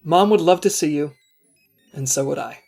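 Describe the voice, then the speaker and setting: A woman's voice: low voice, moderate pace, faint music behind. A woman playing an eager, earnest, goofy male secret agent in an audio comedy drama. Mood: sincere. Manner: excitable, earnest.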